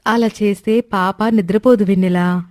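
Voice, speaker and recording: A woman acting a devoted, gentle elder sister in a moral story. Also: medium pitch, fairly even intonation, moderate pace, dry recording, studio quality, lightly acted. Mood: calm, assured. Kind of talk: acted moral-story dialogue.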